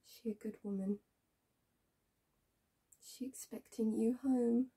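A woman acting a dramatic monologue as a bitter, wounded young woman, halting and repeating her phrases, with a breathy, husky voice. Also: fairly high pitch, steady tone, heavily acted. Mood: wounded, probing. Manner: halting, repeats phrases, swings between hushed and intense.

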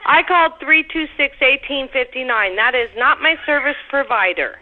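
High-voiced blunt woman on tinny phone line. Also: moderate pace. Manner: blunt, combative, swearing. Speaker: prank call recipient. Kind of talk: irritated phone conversation.

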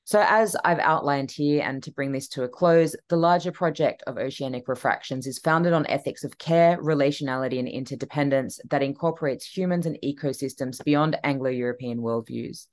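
Measured scripted talk over video call. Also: medium pitch. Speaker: keynote speaker. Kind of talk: read talk.

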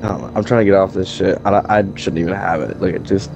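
A man's voice: sits low, steady tone, fast talker, lo-fi sound, music in the background. A man playing a jittery, foul-mouthed drug dealer in hiding in an improvised game roleplay, with a hesitant, rambling delivery. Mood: evasive, uneasy. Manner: hesitant, rambling, profane slacker talk.

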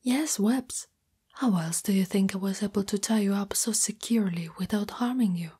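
A woman voicing a shy, lovestruck young spider monster girlfriend in an ASMR roleplay, hesitant, tender and intimate in a breathy whisper. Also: medium pitch, moderate pace, dark tone. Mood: tender, anxious. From Italy.

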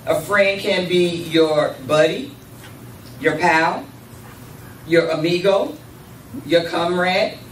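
An older woman speaks slowly and deliberately into a handheld mic in a church sanctuary. Her voice sits a little high. The sound is roomy and picked up from a distance. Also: slight background noise. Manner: measured, deliberate, with congregation responses. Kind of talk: spoken address at a church service. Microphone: handheld microphone. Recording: echoey room, camera far off.

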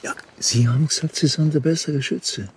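An actor playing a grumbly, deadpan policeman in a comic radio drama. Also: a little high, moderate pace, a little hiss or hum, lightly acted.

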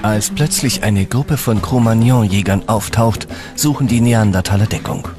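A man reading scripted documentary narration in a measured, dramatic storytelling manner. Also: fairly low pitch. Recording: dramatic music under the voice. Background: background music.

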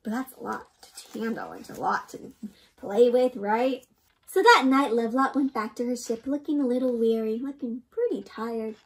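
A young woman reading a storybook aloud to children in an expressive, interactive way, often putting questions to the listener. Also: on the high side, moderate pace, rough recording. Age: young adult. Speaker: children's read-aloud host. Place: indoor room.

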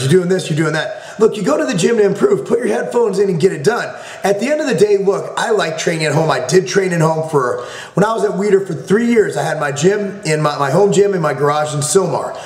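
A man's voice: high voice, echoey room. A man in his thirties hosting a fitness channel, giving blunt, profane tough-love advice to a viewer from his home office. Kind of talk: blunt advice vlog answering a viewer question. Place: small home office, indoors.

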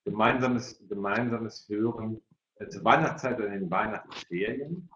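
A middle-aged or older man moderates a video-call discussion. He speaks haltingly, often doubling his words. The sound is roomy and somewhat muffled. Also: fairly low pitch, a bit bright. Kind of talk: hosting an online parents' evening discussion. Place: indoor room, video call.

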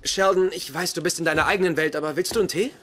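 A man's voice: on the high side, very fast, very noisy, lightly acted. A man playing a nerdy young scientist in a German-dubbed sitcom, on a TV soundtrack. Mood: dry, exasperated.

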